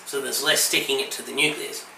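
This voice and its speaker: A man's voice: mid-range voice, steady tone, a little fast, sharp tone. A man co-hosting a casual comedy podcast while getting over a virus, trading dry quips with lots of ums. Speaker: podcast co-host. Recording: echoey room, distant mic, faint hiss.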